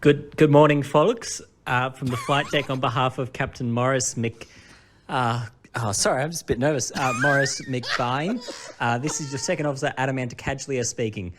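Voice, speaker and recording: A man's voice: medium pitch, steady tone, moderate pace, background noise, pitched above the performer's own voice, lightly acted. A man playing a nervous first-time second officer, hesitant and stumbling through a parody cabin announcement. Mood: nervous, flustered. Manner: hesitant, stumbling, apologetic, giggly.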